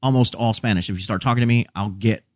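A man's voice: on the low side, quick pace, no echo. Joking man in a dry, close sound. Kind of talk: casual chat.

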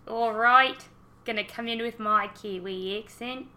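A woman's voice: fairly high pitch, unhurried, sharp tone. A young woman co-hosting a podcast in casual chat, joking and rambling freely. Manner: chatty, joking, laughs often. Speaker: podcast co-host.

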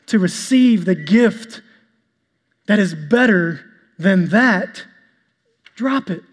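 High-voiced male pastor preaching. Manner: calls himself monotonous and boring-voiced; preaching, shifts to a soft, slow prayer. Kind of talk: sermon. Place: church sanctuary. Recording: long hall reverb with soft instrumental music.

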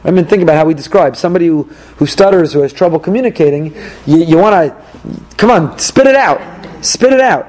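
A man teaching a Torah class as a rabbi, animated, repeating key phrases and putting questions to his listeners. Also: on the high side, brisk, rough recording.

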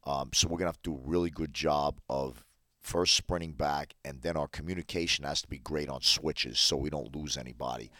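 A man's voice: rumbling low, fairly quick, pushed and bright, no echo, studio quality. A man, a basketball coach on a podcast, explaining his game plan methodically point by point. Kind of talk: podcast interview.

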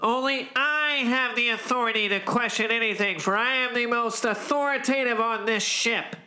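A man's voice: high-pitched, lively intonation, a little slow, pushed and bright, voice pushed up, heavily acted. A man voicing a vain, bullying alien spaceship commander with an imperious, declamatory manner in a comic story.

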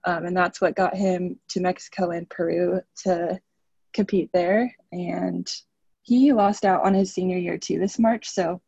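Husky young woman, chatty and upbeat. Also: on the low side, fairly even intonation, a little slow, mellow, dry recording, lo-fi sound. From Oregon. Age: 20s. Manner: chatty and upbeat, laughs often. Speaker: student athlete guest. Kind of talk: podcast interview.

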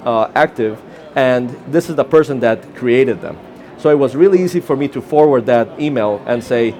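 A man's voice: mid-range voice, a little mellow. Costa rican man giving a conference talk. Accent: Costa Rican Spanish. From Costa Rica. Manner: quick, informal, with fillers and restarts. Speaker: conference presenter. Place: conference session room.

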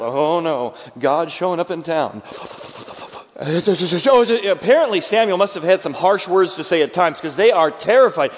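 A man preaching a sermon with dramatic delivery, acting out quoted voices and moving from loud bursts to hushed, quiet lines. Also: high voice. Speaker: pastor. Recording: dull sound with long hall reverb.

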